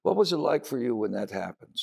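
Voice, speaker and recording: A man's voice: mid-range voice, sing-song, a little fast, gravelly, mellow, breathy edge. A man hosting a podcast, asking a poet questions with enthusiastic brief affirmations. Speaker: podcast host. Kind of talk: interview questions.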